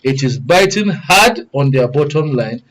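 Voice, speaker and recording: A man's voice: medium pitch, expressive, a little slow, a bit bright, rough recording. A man with a Nigerian accent giving formal, wordy panel commentary, arguing point by point as a studio guest. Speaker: studio guest, good governance advocate.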